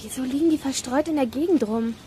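Woman as a high-pitched, eager little girl sleuth. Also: quick pace, warm tone, a little hiss or hum, lightly acted. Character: eager, excitable younger girl detective. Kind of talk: German audio drama acting.

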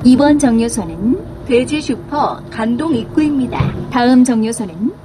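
A woman delivers scripted bus stop announcements in a high voice. The recording carries audible background noise under her short, formal phrases. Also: expressive, a little slow.

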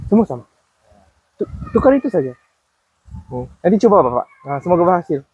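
Husky, high-voiced Malaysian man vlogging in paddy fields; curious, keeps asking questions. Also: big pitch swings, a little fast, no room sound, phone-quality sound. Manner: curious, questioning, repeats answers back. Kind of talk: casual walking vlog chat. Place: outdoors in rice paddy fields. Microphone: body-worn action camera.

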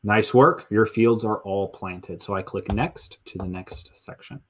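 A man's voice: fairly low pitch, measured pace, slight background noise. A man in his thirties with an American accent, a teacher explaining a lesson step by step in an instructional screencast. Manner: step-by-step explaining, counting aloud. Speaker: middle school teacher.

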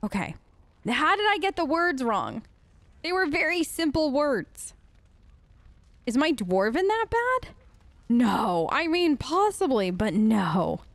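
A woman's voice: high voice, big pitch swings, slow, pauses often, dry recording. A woman doing playful, chatty role-play commentary over a game, talking to the characters as she plays. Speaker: gamer playing in character.

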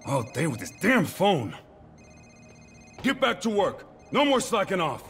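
High, animated, barking mobster. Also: male voice, a little slow, creaky, faint music behind, exaggerated voice. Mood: irritated. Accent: American. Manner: barking, tough. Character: gruff, bossy, irritable male mobster. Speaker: video game voice actor.

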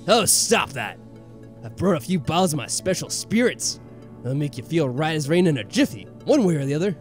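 Hearty old male bush pilot played by a man, folksy, booming, lively, game dialogue. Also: fairly quick, faint music behind. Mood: jolly.

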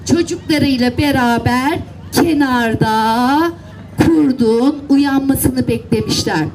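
High-voiced woman telling children a story, unhurried. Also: darkish tone, low-quality recording. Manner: repeats phrases, coaxing children with simple instructions. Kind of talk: animated storytelling and directing children in acting out a tale. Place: outdoor seaside children's event. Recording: live event, faint crowd and noise. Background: faint crowd and ambient noise.

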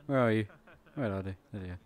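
A man streaming in a virtual world, chatting casually and laughing often. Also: fairly low pitch. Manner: giggly, teasing, quick to laugh. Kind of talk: casual livestream banter.

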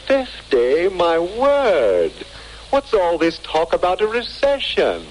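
Fussy male bon vivant, animated pitch, pompous, theatrical, faintly noisy old radio recording. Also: slow, heavily acted. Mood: effusive. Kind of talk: 1940s radio drama acting.

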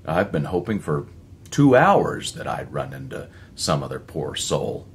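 A man's voice: rumbling low, sing-song, a little slow, vocal fry, a little hiss or hum, full character voice. A man voicing a lonely, desperate man in a story, earnest and pleading. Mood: earnest, shaken.

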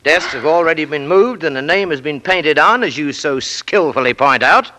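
Blustering, bright-voiced American boss. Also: male voice, on the high side, animated, moderate pace, a little hiss or hum. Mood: grudging. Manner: stammering, blustery, pompous. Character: blustering, grudging businessman boss, pompous and exasperated. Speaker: actor in a radio play. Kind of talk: 1940s radio acting.

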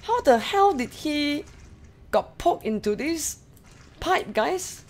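Excitable Singaporean woman streamer. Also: high voice, slow. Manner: excitable, swears and shrieks at scares, talks to chat. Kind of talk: live gameplay commentary. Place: small bedroom. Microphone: studio mic on arm with headphones.

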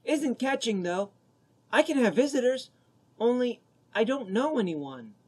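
A man acting an eager, lonely teenage boy in an amateur radio drama, boyish and husky. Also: very high voice, very animated, unhurried, heavily acted.

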